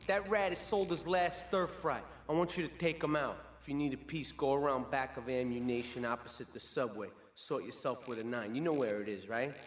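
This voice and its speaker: An actor playing a cocky young American mobster in a game cutscene, casually handing out criminal jobs in slang. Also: male voice, medium pitch, brisk, hardly any pauses, lightly acted. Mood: dismissive, menacing. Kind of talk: video game cutscene acting. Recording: long hall reverb, faint background noise.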